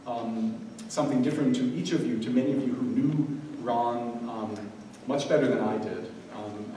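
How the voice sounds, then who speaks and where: An American man, a scholar and curator, giving an unscripted speech at an event, halting with many ums and restarts. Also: mid-range voice, dark tone. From United States. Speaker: guest speaker, scholar and curator. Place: large event room. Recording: echoey room, mic far off, audible noise.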